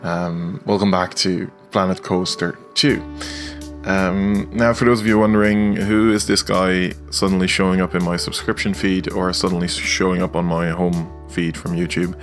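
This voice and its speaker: Low-voiced Dutch young man, hesitant. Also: little pitch movement, moderate pace. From Netherlands. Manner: hesitant, many filler sounds, rambling. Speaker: video game creator. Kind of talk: casual gameplay voice-over. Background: faint guitar music.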